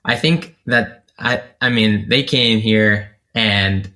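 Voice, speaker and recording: A man's voice: fairly low pitch, slow, sharp tone. A man from North Carolina, a content creator talking about himself as an interview guest in a reflective way, with many fillers and restarts. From North Carolina, USA. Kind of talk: casual interview talk.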